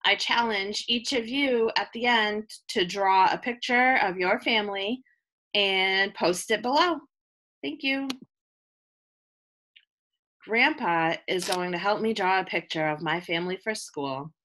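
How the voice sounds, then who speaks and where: A woman reading a children's picture book aloud like a teacher, with expressive delivery aimed at young listeners. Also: medium pitch, measured pace. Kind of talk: children's read-aloud, scripted narration.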